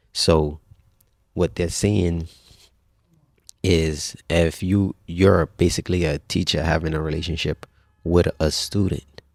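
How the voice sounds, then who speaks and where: Male guest, 30s: very low, gravelly voice, dry close sound, relaxed storyteller. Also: no room sound. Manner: relaxed, conversational, frequent restarts and religious phrases. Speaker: podcast guest. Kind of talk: personal storytelling in casual conversation.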